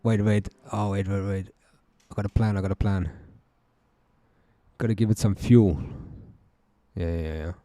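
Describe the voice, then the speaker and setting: A young South African man hosting a casual live stream, joking, laughing a lot and talking in relaxed slang. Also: on the low side, measured pace, pauses often, no echo. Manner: laid-back, joking, laughs often, slangy. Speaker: livestream trading host.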